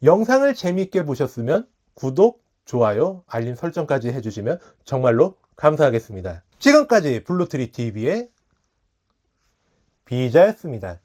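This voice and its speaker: A man's voice: mid-range voice, very animated, moderate pace, a little mellow. A man hosting a tech review, narrating from a script in a polite, explanatory way. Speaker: tech review channel host.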